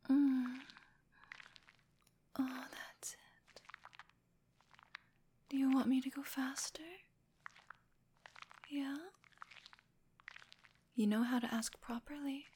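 A woman's voice: high voice, mellow, slight background noise. A woman whispering breathily in an intimate adult ASMR roleplay, with a soothing, coaxing, affectionate manner.

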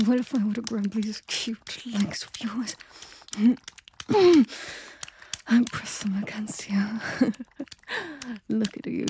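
A young woman speaks close to the mic in a slow, unhurried way, her voice warm and mellow rather than bright. She stays commanding and teasing and often sinks into a breathy whisper. A faint hiss sits under the recording. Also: medium pitch. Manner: soft and gentle near the end. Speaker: audio roleplay performer. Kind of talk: intimate scripted audio roleplay.

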